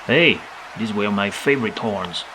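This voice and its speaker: A man voicing a menacing horned demon wrestler who throws lightning, with slow, deadpan taunts, in an audio drama. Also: lowish voice, a little hiss or hum, poor audio. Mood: taunting. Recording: loud music over the voice.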